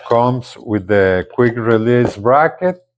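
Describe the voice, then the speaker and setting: Slow-paced man reviewing gadgets casually. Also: medium pitch, expressive, noisy background. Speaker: product reviewer. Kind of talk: casual unboxing and product commentary.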